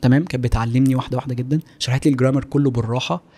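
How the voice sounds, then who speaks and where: A man's voice: mid-range voice, steady tone, rapid-fire, dark tone. A man in his twenties with an Egyptian Arabic accent, chatting casually as a podcast guest at a close studio microphone. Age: 20s. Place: small home-style studio office, indoors. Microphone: close studio microphone on boom arm.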